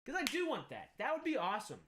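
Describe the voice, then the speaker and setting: A man in his thirties with a slight Texan accent, hosting casual film news commentary in an animated, rambling, emphatic way. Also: very high-pitched, quick pace. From Texas. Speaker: film news show host.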